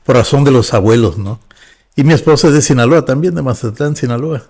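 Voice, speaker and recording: A man in his fifties with a Mexican Spanish accent speaks as a pastor on a podcast. He talks in a calm, measured way and pauses often on "eh" between thoughts. His voice sits at an ordinary middle pitch. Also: breathy edge. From northwest Mexico. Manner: measured, with frequent "eh" fillers. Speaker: pastor and guest. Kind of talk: relaxed podcast interview.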